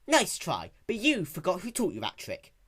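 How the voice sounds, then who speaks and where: Storybook role: brash young female flying pony played by a man, high lifted voice, animated, full of bravado. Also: normal speed, breathy edge, full character voice. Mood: casual, cocky. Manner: boastful, casual slangy talk.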